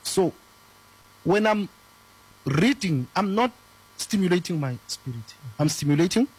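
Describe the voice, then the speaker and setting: A man's voice: high voice, expressive, unhurried, a little mellow, breathy edge, low-quality recording. A man in his thirties, explaining spiritual ideas in an earnest teaching manner, with many rhetorical questions. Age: 30s. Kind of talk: conversational teaching.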